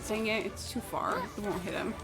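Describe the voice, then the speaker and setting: A woman's voice: medium pitch, steady tone, a little fast, over loud music. A woman livestreaming a game, chatting casually and opinionatedly in a blunt, sweary, incredulous ramble. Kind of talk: casual opinionated chat while gaming.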